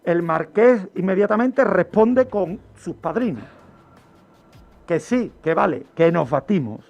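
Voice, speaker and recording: High-pitched, gravelly man storytelling slowly. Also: animated, darkish tone. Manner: didactic, dramatic pauses, rhetorical questions. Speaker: criminologist, radio segment contributor. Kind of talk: true-crime storytelling on a radio show. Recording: dull lo-fi radio recording with background music.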